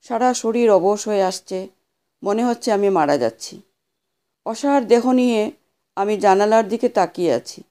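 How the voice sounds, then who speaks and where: A woman narrating a scripted horror story as an audio storyteller, with a measured, dramatic delivery. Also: mid-range voice, mellow.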